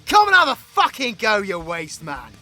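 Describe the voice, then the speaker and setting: A man's voice: fairly high pitch, very animated, unhurried, pushed and bright, soft background music, exaggerated voice. A British man playing a cocky, foul-mouthed, brawling supervillain in an audio roleplay, mocking and crude. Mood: furious, brawling. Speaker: voice actor.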